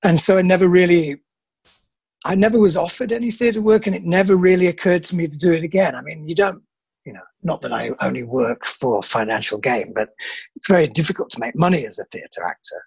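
Middle-aged actor, husky and gravelly, dry booth, reflective interviewee. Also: male voice, fairly high pitch, lively intonation, a little mellow, no room sound. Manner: self-deprecating, halting with restarts. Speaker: film actor.

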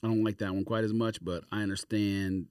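A man's voice: on the low side, level tone, fairly quick, a little mellow, smooth, no room sound, studio quality. A man co-hosting a podcast in casual chat, rambling as he searches for the right words. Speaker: sneaker podcast host.

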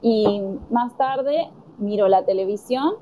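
An Argentine woman answering conversation questions slowly and deliberately, pausing with fillers. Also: on the high side, mellow, slight background noise, poor audio. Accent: Argentine Spanish. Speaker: interviewee. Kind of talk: slow, careful conversation answers.

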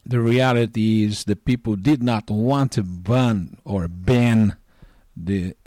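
A middle-aged man from northeastern Brazil, a native Portuguese speaker with a Brazilian accent, giving prepared commentary as a caller in a deliberate, measured way. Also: lowish voice, steady tone, dark tone, dry recording, studio quality. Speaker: listener calling in.

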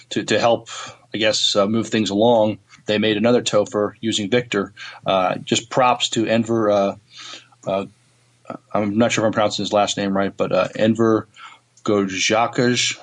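Low-voiced, halting male podcast co-host. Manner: hesitant, halting delivery. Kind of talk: casual news reading and discussion.

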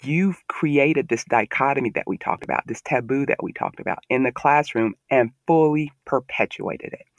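A man's voice: medium pitch, normal speed, few pauses, no room sound. A man with a Southern American accent, talking earnestly and with emphasis in a podcast discussion.

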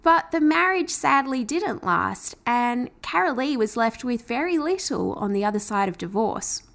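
A woman's voice: a little high, expressive, moderate pace, creaky, fairly bright tone. A woman hosting a podcast, talking in an enthusiastic, chatty way as she promotes her offer and welcomes a guest. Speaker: podcast host. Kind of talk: upbeat podcast intro and interview.

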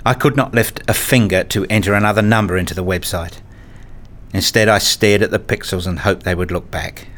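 A man reading scripted first-person narration for a radio play in a measured, understated, literary manner. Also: sits low, steady tone, a bit bright. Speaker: narrator and lead character, a father.

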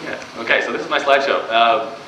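A man's voice: medium pitch, quick pace, dull audio. A young man with a Canadian accent, giving a casual, conversational talk to a live audience at a business event, with many fillers and restarts. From Australia. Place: event room before an audience. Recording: distant, echoey live room recording with background noise.

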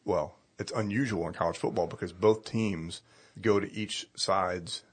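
A middle-aged man, a pastor, chatting casually in a hoarse voice and telling stories in an animated way. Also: sits low, unhurried. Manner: chatty, animated storytelling with laughs. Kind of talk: casual chat.

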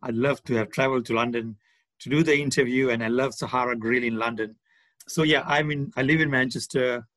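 Male interviewee, 60s, medium pitch, discursive conversational delivery, close dry recording without room echo. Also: fairly even intonation, brisk, fairly bright tone. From born in India, grew up in Malawi. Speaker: podcast guest, charity development manager. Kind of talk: conversational interview, reflective account. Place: remote online call.

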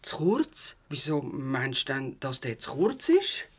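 A woman's voice: extremely low pitch, huge pitch swings, slow, breathy edge, heavily acted. A woman with a Swiss German accent playing an easygoing, supportive mother of a teenager in a youth radio play. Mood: reassuring. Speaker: actor in an audio drama.

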